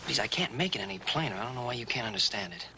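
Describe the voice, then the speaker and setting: An actor playing a patient man teaching sign language to a deaf child, coaxing her and repeating single words slowly, in a loud, noisy film soundtrack. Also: mid-range voice, expressive, lightly acted.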